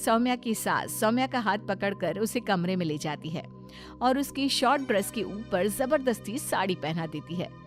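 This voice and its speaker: A woman reading scripted narration for an animated story. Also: medium pitch, normal speed, faint music behind.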